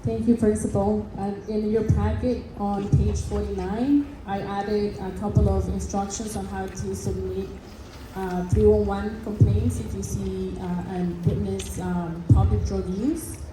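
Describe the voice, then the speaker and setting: A woman's voice: mid-range voice, little pitch movement, a little slow. A woman chairing a community board meeting, introducing speakers in a matter-of-fact way. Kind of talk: running a public meeting. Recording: echoey hall, noisy room.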